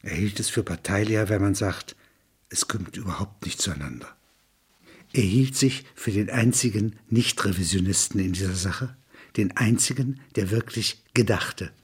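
A man reading scripted literary prose in a measured, sober manner, with a husky, rough-edged voice. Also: fairly low pitch. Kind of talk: scripted narration of a literary audio piece.

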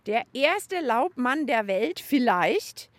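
A woman's voice: fairly high pitch, big pitch swings, slow pace, no room sound. A woman co-hosting a children's science show, explaining things in a friendly way and talking directly to the kids. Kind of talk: casual presenting for children.

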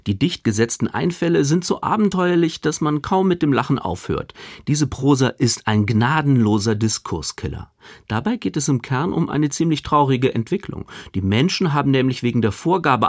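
A man's voice: mid-range voice, dry recording, clean studio sound. A man reading a scripted radio book review as a literary critic, articulate and wry.

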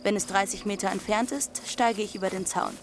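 A woman's voice: medium pitch, steady tone, a little fast, noisy background, lightly acted. A woman playing a defiant teenage runaway girl who narrates her journey matter-of-factly in an audio drama. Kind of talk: first-person literary narration in audio drama.

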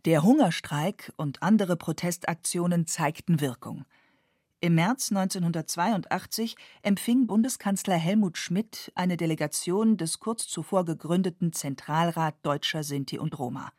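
Low-voiced woman narrating a documentary. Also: dry recording, clean studio sound. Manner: measured, even reading.

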